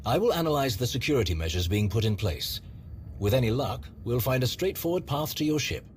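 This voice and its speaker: An actor voicing a calculating strategist in a video game, measured, formal and precise in his speech. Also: medium pitch, very animated, a little hiss or hum, close to an everyday voice. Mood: coolly scheming.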